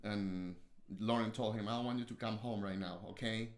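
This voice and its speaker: A man's voice: lowish voice, steady tone, moderate pace, reverberant. A man from Peru with a strong Peruvian Spanish accent, a guest chatting casually and joking boastfully on a livestream.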